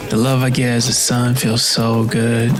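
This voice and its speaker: A man's voice: mid-range voice, monotone, background noise, natural voice. An actor playing a sorrowful, reflective enslaved son narrating his own story with a Southern US accent. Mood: wistful. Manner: solemn, measured storytelling. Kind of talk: first-person dramatic narration.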